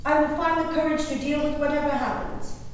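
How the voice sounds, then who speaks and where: A woman performing a dramatic monologue as a genteel, devoted, anxious colonial wife and mother, in a theatrical, measured manner. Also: sits high, steady tone, lightly acted. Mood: sad, worried. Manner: theatrical, measured, with exclamations and pauses. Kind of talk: dramatic stage monologue reading of a diary. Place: reverberant performance hall. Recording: echoey hall from far off, background noise.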